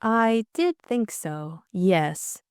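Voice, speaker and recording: A woman's voice: mid-range voice, sing-song, extremely slow, clear, resonant tone, no room sound, clean studio sound. A woman voicing a polite, well-bred young lady in an audiobook, with a meek, tentative manner. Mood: hesitant, surprised. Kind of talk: audiobook character dialogue.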